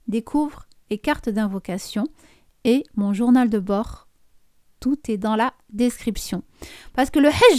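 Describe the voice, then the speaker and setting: Woman talking slow and gentle straight to you, smooth clear voice, dry close sound. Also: a little high, dry recording. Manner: gentle, coaching, addresses viewer directly; slow, deliberate delivery with soft intimate passages. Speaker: hajj preparation coach. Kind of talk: direct-to-camera spiritual guidance.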